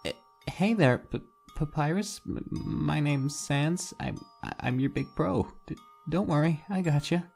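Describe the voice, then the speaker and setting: A woman's voice: low voice, a little slow, creaky, a little mellow, faint music behind. A woman voicing a nervous, sweet little skeleton boy in a dramatic fan comic dub, hesitant and stammering. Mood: nervous, gentle.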